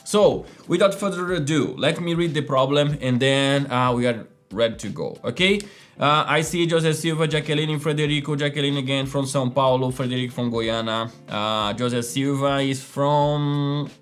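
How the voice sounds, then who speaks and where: A man's voice: medium pitch, moderate pace. A man hosting a casual live coding lesson, chatty and full of fillers, often checking in with "right?" and "okay?". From Brazil. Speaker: host of a live coding stream. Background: faint background music.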